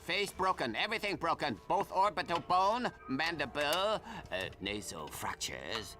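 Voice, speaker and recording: An actor playing a cheerful, blunt surgeon in a video game, speaking clipped broken English. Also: male voice, on the high side, sing-song, a little slow, pushed and bright, heavily acted. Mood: matter-of-fact. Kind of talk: video game cutscene acting.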